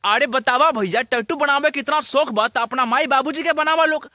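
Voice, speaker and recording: A man's voice: very high-pitched, moderate pace, pushed and bright, no echo, studio quality. A man with a Bhojpuri accent hosting a celebrity gossip video in teasing, exclamatory scripted comic narration. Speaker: host of a Bhojpuri entertainment gossip video channel.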